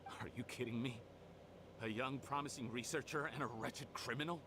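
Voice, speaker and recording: An actor playing a bitter, scornful criminal researcher who argues theatrically in a dramatic video game scene. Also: male voice, mid-range voice, moderate pace, background noise, close to an everyday voice. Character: bitter, defiant criminal researcher, man, self-justifying villain.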